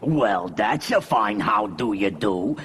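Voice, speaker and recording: An actor playing a sassy, complaining little henchman with a gravelly voice in a children's audio storybook. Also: on the low side, animated, takes it slowly, a bit bright, slight background noise. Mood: grumbling. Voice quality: gravelly, creaky. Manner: blunt, sarcastic, complaining. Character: grumbling, sassy little henchman, leader of the gang.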